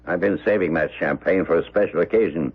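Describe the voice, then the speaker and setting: An actor playing a domineering, brash old American millionaire who blusters in slang, in a radio drama. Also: male voice, sits low, fairly quick, breathy edge. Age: older. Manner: blustering, slangy, repeating himself. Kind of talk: 1970s radio drama acting. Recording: muffled old radio broadcast, music behind.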